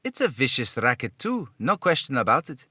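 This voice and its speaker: A man playing an earnest, fatherly police detective in an old radio serial style, measured and authoritative. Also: mid-range voice, big pitch swings, sharp tone, breathy edge, no echo, clean studio sound, exaggerated voice. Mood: earnest, businesslike.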